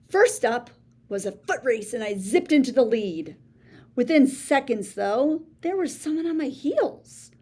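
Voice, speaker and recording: A woman reading a children's picture book aloud in a playful, theatrical way, with big swings between soft and showy lines. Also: high voice. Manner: boastful first-person storybook voice. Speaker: read-aloud storyteller.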